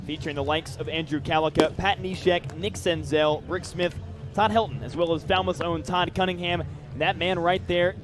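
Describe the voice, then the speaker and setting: A young American man giving live radio play-by-play commentary of a baseball game. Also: a little high. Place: outdoor baseball stadium broadcast. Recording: live outdoor ballpark crowd noise. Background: crowd and outdoor ballpark ambience.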